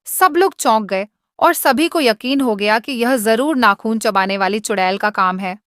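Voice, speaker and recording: A woman with a fairly high voice narrates a scripted moral story. She speaks as the storyteller, and the recording is dry with hardly any room sound. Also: unhurried, fairly bright tone, no room sound.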